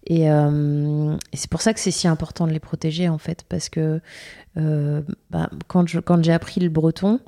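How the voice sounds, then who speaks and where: A woman's voice: deep voice, little pitch movement, moderate pace, warm tone. A young woman from Brittany, a singer, answering interview questions with many hesitations and restarts.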